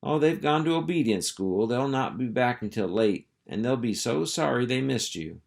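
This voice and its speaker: A man's voice: medium pitch, expressive, normal speed, creaky, a little mellow, voice pushed up. A man voicing a fussy, genteel female dog, a long-suffering sister and mother in a storybook, sighing and overly polite. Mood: prim, disapproving.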